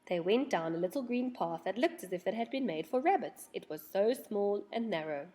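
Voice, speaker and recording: A woman reading a children's storybook aloud in an expressive, dramatic storytelling manner. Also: medium pitch, normal speed.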